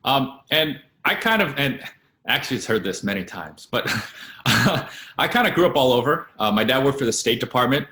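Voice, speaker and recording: A man in his late twenties, a guest actor, telling his story in a relaxed, steady way with a few fillers. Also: medium pitch, normal speed, roomy sound. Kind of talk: casual interview chat.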